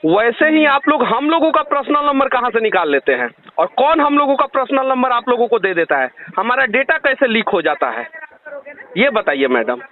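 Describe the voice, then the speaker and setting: A man's voice: very high voice, sing-song, normal speed. A man with a Bihari Hindi accent arguing insistently on a phone complaint, firing sarcastic rhetorical questions. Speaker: caller confronting a loan telemarketer. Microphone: phone line. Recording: tinny, narrowband phone call recording.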